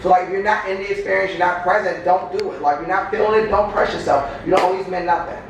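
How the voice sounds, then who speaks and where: A man's voice: sits high, steady tone, fast. Candid chatty man in an echoey room. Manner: candid, advice-giving, chatty. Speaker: podcast panelist. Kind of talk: casual chat. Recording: echoey room, mic far off, noisy.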